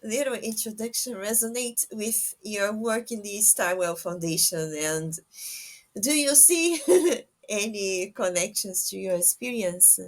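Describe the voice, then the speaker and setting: A woman hosting a casual podcast interview, halting often as she searches for her words. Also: medium pitch, lively intonation.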